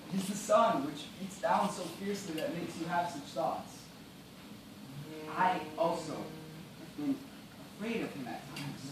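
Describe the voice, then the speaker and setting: A man acting a shipwrecked gentleman in a stage play, resigned and bitter, speaking in a formal, measured, theatrical way. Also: fairly high pitch, lightly acted. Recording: distant, echoey, noisy live theatre recording.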